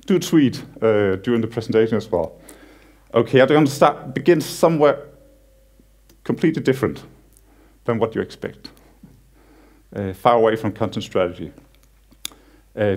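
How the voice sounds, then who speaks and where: Danish man, 30s, conference speaker on stage in a cinema hall, easygoing and joking, stumbles a bit. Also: fairly low pitch. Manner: relaxed, self-deprecating humor with frequent halting restarts. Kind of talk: conference talk, casual and joking.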